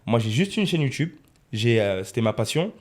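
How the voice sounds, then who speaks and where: A man's voice: mid-range voice, sing-song, normal speed. A man of twenty-one hosting a casual podcast in a studio, rephrasing his points for emphasis. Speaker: podcast host, freelance video editor.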